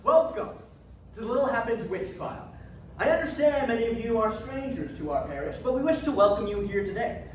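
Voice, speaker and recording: A man's voice: very high-pitched, lively intonation, brisk. A man playing an officious, pompous English witch trial magistrate, declaiming formally in a theatrical stage style. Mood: commanding. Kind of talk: theatrical readers theatre acting. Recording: echoey hall from far off, noisy.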